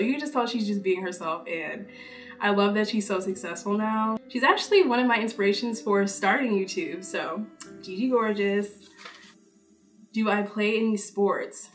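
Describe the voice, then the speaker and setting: A woman's voice: mid-range voice, distant mic. A woman in her twenties talking casually to camera in a get-to-know-me vlog, upbeat and chatty with lots of "like" fillers. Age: 20s. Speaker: YouTuber. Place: indoor room, seated before purple backdrop.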